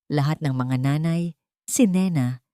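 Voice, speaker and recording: A woman's voice: sits low, a little slow, mellow, smooth, dry recording, clean studio sound. A woman with a Filipino accent reading a scripted dramatic story as its narrator.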